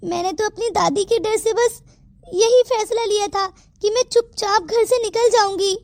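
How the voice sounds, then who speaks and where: A woman's voice: extremely high pitch, fairly even intonation, normal speed, a little mellow, no room sound. A woman voicing a meek young woman, pleading and emotional, in a Hindi family melodrama cartoon. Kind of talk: Hindi moral-story cartoon dialogue.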